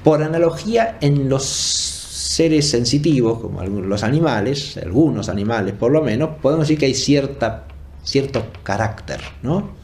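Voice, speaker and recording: A man giving an explanatory academic lecture off the cuff, deliberate and often checking for agreement with short tag questions. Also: mid-range voice, expressive, a little mellow, a little hiss or hum. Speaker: philosophy lecturer.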